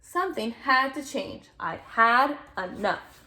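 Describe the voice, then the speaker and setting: A woman voicing an earnest, anxious-to-please male egg in an expressive children's picture-book read-aloud. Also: a little high, slow, full character voice. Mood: frazzled. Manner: expressive storybook reading with playful emphasis.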